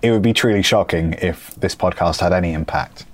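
Low-voiced, dry man. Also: a little fast. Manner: dry, sarcastic, teasing. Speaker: podcast co-host. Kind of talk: casual chat. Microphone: remote call setup.

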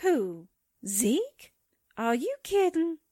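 A woman's voice: high voice, big pitch swings, very slow, dark tone, no room sound, studio quality, exaggerated voice. A woman acting a feisty, wisecracking newspaper sidekick in a 1940s-style radio drama, breezy and sardonic. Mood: excited.

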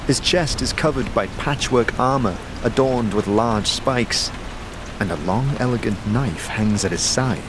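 A man narrating a fantasy audio drama in second person, in a steady, descriptive storytelling manner. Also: medium pitch, measured pace, noisy background.

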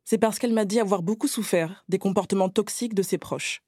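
A woman journalist narrating a personal, scripted account in a calm storytelling manner. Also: medium pitch, a little fast, husky, no echo, studio quality.

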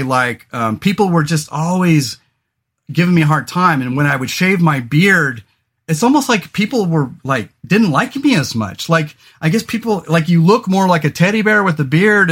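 A man's voice: a little high, a bit bright. A man in his forties hosting a podcast, talking casually and rambling with lots of fillers, restarts and casual swearing. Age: 40s. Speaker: podcast host.

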